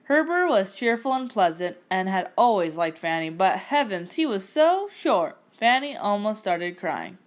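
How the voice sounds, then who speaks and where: A woman narrating a picture-book story aloud in an expressive, scripted storytelling style. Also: mid-range voice, unhurried, few pauses.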